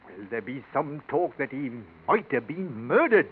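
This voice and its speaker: Flustered old Yorkshire servant, tinny radio. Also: male voice, on the high side, sing-song, moderate pace, slightly rough. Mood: apologetic. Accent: Yorkshire English. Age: older. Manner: dialect speech, hesitant stammering. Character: old male rustic servant, grumbling, flustered, deferential. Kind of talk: 1940s radio acting. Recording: tinny, noisy 1940s radio recording.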